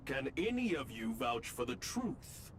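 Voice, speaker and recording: An actor playing a solemn, dutiful saint in a video game, speaking gravely and formally. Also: male voice, very animated, unhurried, a little hiss or hum. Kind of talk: theatrical video game acting. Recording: clear rumbling game sound behind.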